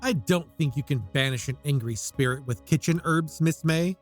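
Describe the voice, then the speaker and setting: A man's voice: fairly high pitch, normal speed, lightly acted. A man playing a cowardly, guilty homeowner in an audio drama, stammering, pleading and defensive. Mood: bitter. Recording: faint music behind the voice.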